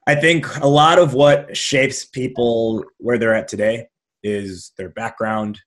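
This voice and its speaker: A man hosting an interview podcast, delivering a lively, sing-song scripted intro before chatting with his guest. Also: mid-range voice, takes it slowly. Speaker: podcast host.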